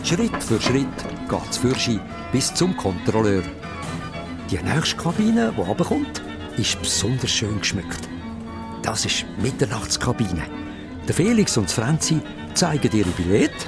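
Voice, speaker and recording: A man with a Swiss German accent narrating a children's radio play in an animated storytelling style. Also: mid-range voice, unhurried. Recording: music behind the voice.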